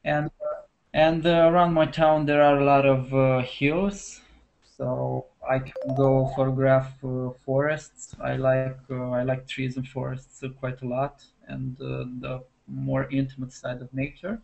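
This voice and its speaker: A man's voice: medium pitch, measured pace, vocal fry, highs cut off. A man from Transylvania in Romania with a Romanian accent, a photographer speaking casually with many hesitations. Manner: relaxed, hesitant, uh-filled. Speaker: landscape photographer. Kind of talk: casual self-introduction.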